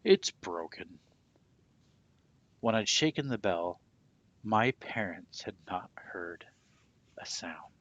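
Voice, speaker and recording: A man reading a children's story aloud expressively in a husky, breathy voice, with a hushed, dramatic and deliberate delivery. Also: fairly low pitch, lively intonation, lo-fi sound. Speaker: storybook reader.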